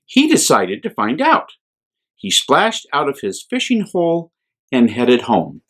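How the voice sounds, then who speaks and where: An American man, a priest hosting a children's storytime, reads aloud in a gentle, expressive, unhurried way. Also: mid-range voice.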